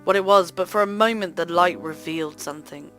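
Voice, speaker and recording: A woman hosting a let's play, reading visual novel narration aloud in an expressive, theatrical way. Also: on the low side, brisk, soft background music. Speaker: host of a gaming let's play channel.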